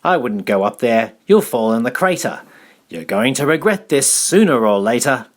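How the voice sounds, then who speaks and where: A man's voice: medium pitch, big pitch swings, normal speed, close to an everyday voice. A man voicing a grumpy, scolding old male bighorn sheep in a storybook. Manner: scolding, tutting.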